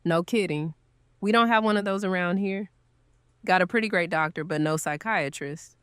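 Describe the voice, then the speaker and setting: A woman's voice: lowish voice, normal speed, fairly bright tone, smooth, dry recording, clean studio sound, natural voice. A woman playing a kind, earnest newcomer's host in an audio drama, speaking casually and sympathetically. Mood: curious, casual. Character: kind, grateful woman welcoming a newcomer, gently guilty.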